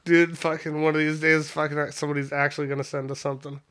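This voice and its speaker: A man's voice: a little high, level tone, fast talker, a bit bright, clear, resonant tone. A man in his thirties co-hosting a podcast in a rambling, laid-back way, swearing constantly and laughing often. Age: 30s. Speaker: podcast co-host. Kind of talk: casual stoned chat.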